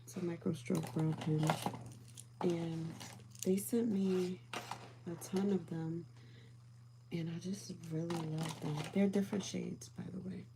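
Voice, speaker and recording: Soft-spoken calm woman, low voice. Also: a little slow, gravelly, long pauses, background noise. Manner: often drops to a whisper while applying makeup. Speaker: beauty livestream host. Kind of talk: casual makeup chat with viewers.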